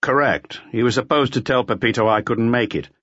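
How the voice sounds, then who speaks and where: A man's voice: medium pitch, a little fast, fairly bright tone, no room sound, lo-fi sound, pitched above the performer's own voice, natural voice. Man reading as a terse, glum police detective. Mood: calm. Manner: terse, clipped questions and orders. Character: placid, glum male police detective questioning witnesses.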